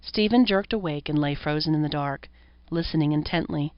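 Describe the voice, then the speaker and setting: A woman's voice: low-pitched, a little fast. A woman reading a horror story as an audiobook narrator, in scripted narration. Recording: roomy echo, dull lo-fi sound.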